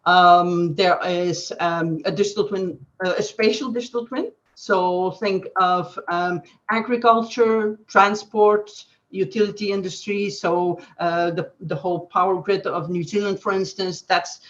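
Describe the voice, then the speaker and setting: A woman from Belgium with a Flemish accent, explaining things as an interview guest in halting phrases with frequent pauses. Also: on the low side, steady tone. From Belgium, Flanders. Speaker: guest digital twin consultant. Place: online webcast. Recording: dull, band-limited web call audio.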